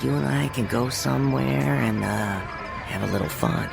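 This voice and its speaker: A man's voice: mid-range voice, normal speed, creaky. An actor playing a pushy, coaxing teenage party boy in a slangy radio drama scene. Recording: radio drama with loud music behind.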